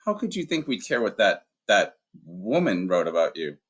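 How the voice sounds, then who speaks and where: A man's voice: deep voice, sing-song, normal speed, fairly bright tone, husky, full character voice. A man voicing an earnest, slightly sheepish teenage schoolboy. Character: teenage boy student, earnest, a bit awkward.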